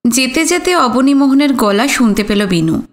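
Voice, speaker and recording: A woman's voice: high voice, animated, normal speed. A woman reading literary fiction aloud as a scripted audio story narration.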